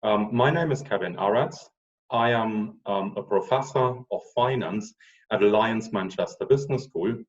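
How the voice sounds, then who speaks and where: A man's voice: fairly low pitch, normal speed, recorded from a distance, dull audio. A man in his forties with a German accent, a professor giving a casual online lecture with frequent ums. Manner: relaxed, chatty, with frequent ums.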